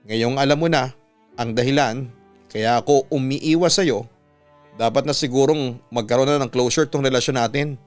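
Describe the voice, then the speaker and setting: A man's voice: mid-range voice, normal speed, soft background music. A man who hosts as a radio announcer, reading a listener's letter aloud in an earnest, dramatic way, then giving advice.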